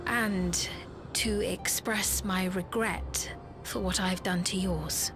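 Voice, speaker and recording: Sad polite young game queen, soft and slow, resigned, big music behind. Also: mid-range voice, steady tone. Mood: remorseful. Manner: soft, formal, regretful. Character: gentle, sorrowful young queen, formal and resigned. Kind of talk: dramatic video game acting.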